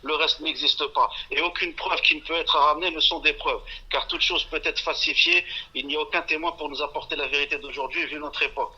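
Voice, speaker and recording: A man's voice: on the high side, steady tone, fairly quick, bright, forceful tone, breathy edge. A man on the phone as an interview guest, blunt and categorical, cutting in over the host. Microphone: telephone. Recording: muffled phone line.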